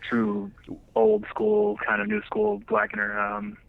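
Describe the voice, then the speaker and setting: A male caller with a gravelly voice asks his question haltingly, padded with fillers. He comes through a dull, muffled line. The sound is dry with no room around it. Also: sits high, big pitch swings, no echo. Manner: hesitant, filler-heavy. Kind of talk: phone call-in question.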